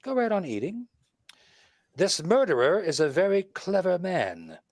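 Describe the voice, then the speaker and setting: A man performs a shrewd, confident detective from a 1940s radio mystery. His fairly high voice moves animatedly as he lays things out with emphasis and a wisecracking edge, in a dry, close sound. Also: very animated, unhurried, a little mellow, no echo, exaggerated voice. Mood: confident, explanatory. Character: shrewd, wisecracking male detective laying out a murder case.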